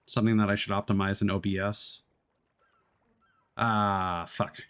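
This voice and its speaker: A man's voice: fairly low pitch, little pitch movement, measured pace, a bit bright, smooth. Rambling young man chatting on stream. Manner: rambling, scatterbrained, many fillers and restarts; casual, swears freely. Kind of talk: casual live-stream chat.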